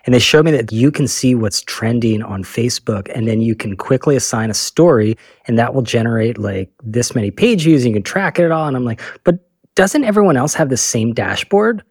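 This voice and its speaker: Husky-voiced guy rambling on a podcast, dry sound. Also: mid-range voice, animated, brisk, darkish tone, no echo. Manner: rambling, self-correcting storyteller. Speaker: podcast co-host. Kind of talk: casual panel chat.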